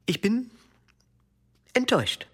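A female group therapist speaks in a hushed, pained voice with lively pitch movement. She is halting and earnest, as in a mock interview in a radio comedy. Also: slightly rough, exaggerated voice. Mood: hurt, disappointed.

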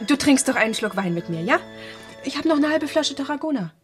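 An actress playing a self-assured, warm-hearted young typist in a German radio play, speaking directly and decisively. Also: female voice, sits high, expressive, a little fast. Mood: surprised, tender. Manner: direct, decisive, clipped sentences. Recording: music behind the voice.

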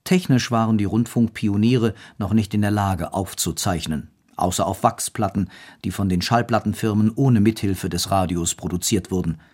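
A man's voice: fairly low pitch, moderate pace, darkish tone, breathy edge. A man reading scripted documentary narration as the narrator of a radio history feature.

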